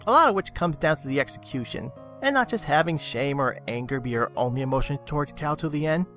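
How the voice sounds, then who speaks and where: A man's voice: fairly high pitch, expressive, fast talker, a bit bright. A man reading a scripted review as a video reviewer. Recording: music under the voice. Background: background music.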